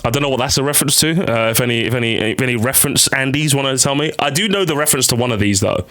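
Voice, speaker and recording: A man's voice: mid-range voice, very fast, a bit bright. A man giving rambling live stream commentary on game voice lines in a slangy, sarcastic, mock-dramatic manner.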